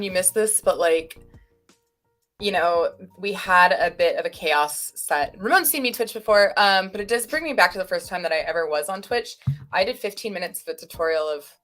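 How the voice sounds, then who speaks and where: A woman's voice: on the low side, moderate pace, faint music behind. Woman streamer, chatty and self-deprecating, loose stream banter into a computer mic. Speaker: stream co-host. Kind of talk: casual livestream chat.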